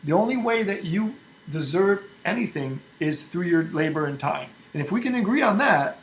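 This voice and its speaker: Man from Albania with a high voice, forceful and argumentative in a group meeting, restarts his sentences a lot. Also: lively intonation, normal speed. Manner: emphatic, argumentative, with frequent restarts. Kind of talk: casual group discussion.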